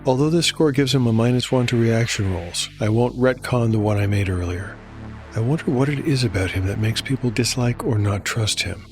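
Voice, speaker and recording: A man narrating a fantasy tabletop game as storyteller and game master, in a measured, scripted delivery. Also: mid-range voice. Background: music.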